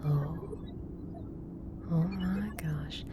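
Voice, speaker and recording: Woman acting exacting female scientist, slow, slightly low, precise, formal, audio drama, noisy recording. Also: steady tone. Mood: astonished. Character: brisk, exacting female scientist, easily irritated.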